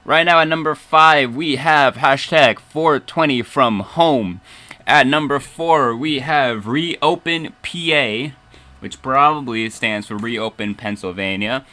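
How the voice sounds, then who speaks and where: A man's voice: mid-range voice, slow pace, bright, forceful tone. A man hosting a casual livestream radio show as DJ, playful and rambling, with emphatic announcing.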